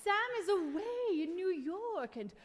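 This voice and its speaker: An actress playing a warm, teasing, gently bossy mother in an old-time radio play. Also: extremely high pitch, very animated, unhurried, slight background noise, heavily acted. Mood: coaxing. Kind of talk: 1940s radio play acting. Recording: echoey hall, live stage taping.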